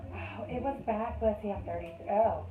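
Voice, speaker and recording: A woman's voice: medium pitch, moderate pace. A woman in her thirties, a hairstylist, chatting and explaining each step as she cuts hair for a tutorial. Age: 30s. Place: hair salon. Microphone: phone camera. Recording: echoey room, tinny phone-like sound, faint noise.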